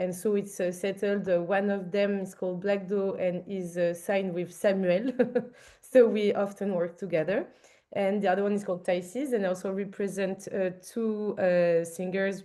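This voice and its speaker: French-accented woman, mellow voice. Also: mid-range voice. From France. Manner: friendly, frequent fillers. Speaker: panelist, artist manager. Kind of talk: conference panel talk.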